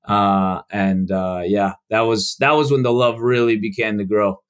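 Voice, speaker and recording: Halting young man from Chicago. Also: fairly low pitch, fairly even intonation. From United States. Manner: halting, many restarts and fillers. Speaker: guest. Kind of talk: casual podcast interview.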